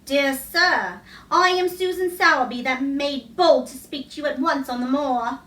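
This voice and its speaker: A woman narrator reads as a plain, respectful countrywoman in a storybook, earnest and humble. The voice is high and bright. It sits in an echoey room. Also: a little slow. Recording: echoey room, distant mic.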